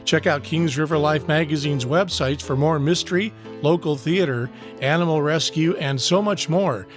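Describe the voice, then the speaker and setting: Guy doing podcast outro credits, voice a bit high, soft guitar music behind. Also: normal speed. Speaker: podcast announcer reading credits. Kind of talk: scripted outro read.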